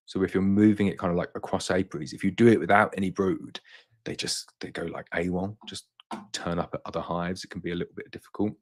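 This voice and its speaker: A young man hosting a casual live-stream Q&A and answering viewers' questions, his throat a little tired from a long day of talking. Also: low voice. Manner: reads viewer comments aloud in a brighter voice, then answers plainly.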